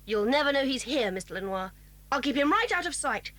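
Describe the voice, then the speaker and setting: Quick, high-voiced eager English schoolgirl. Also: big pitch swings, fairly bright tone. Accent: British. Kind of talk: British children's audio drama acting.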